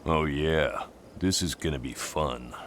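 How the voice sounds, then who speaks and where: A man voicing a gruff, blunt fighting man in a video game, dry and sarcastic. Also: very deep voice, slow pace, background noise. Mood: sardonic. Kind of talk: video game cutscene acting.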